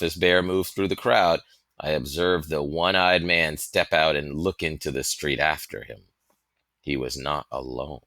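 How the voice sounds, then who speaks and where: Deep, forceful male storybook reader. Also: no room sound. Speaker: audiobook reader. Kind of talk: expressive scripted storybook narration.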